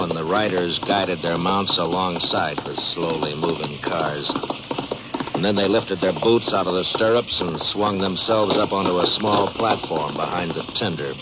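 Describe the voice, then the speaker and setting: A man's voice: deep voice, heavy background noise. An American man narrating a 1950s radio Western in a scripted, dramatic storytelling style. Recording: dull 1950s radio recording.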